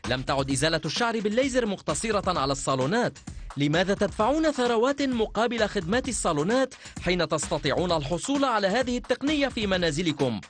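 Male ad announcer, rapid upbeat pitch, light music behind. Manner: fast, lively sales pitch. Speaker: advertisement voice-over. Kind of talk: dubbed infomercial narration.

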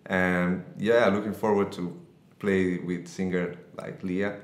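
A young man from Italy, a guitarist, giving short, halting, agreeable answers in a casual interview. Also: sits low, level tone, reverberant. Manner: brief, halting, agreeable replies with non-native phrasing. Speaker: guitarist guest. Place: small bright studio room. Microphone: studio condenser mic on stand.

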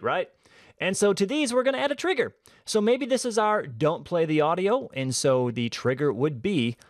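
A man giving a casual, conversational software tutorial, explaining each step as he goes. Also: fairly high pitch, dry recording, clean studio sound.

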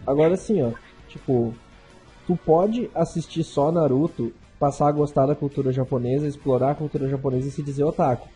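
A man's voice: a little high, brisk, dark tone, husky, faint music behind. A young man co-hosting a casual podcast, talking emphatically and repeating himself. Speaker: podcast co-host.

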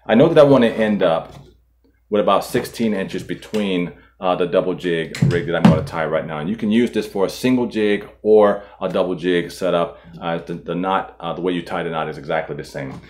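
A man in his forties, host of a fishing channel, calmly walking viewers through tying a knot step by step, filmed indoors at a table. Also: low voice, fairly quick, a little hiss or hum. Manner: calm, methodical, walks through each step. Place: indoor studio in front of a boat.

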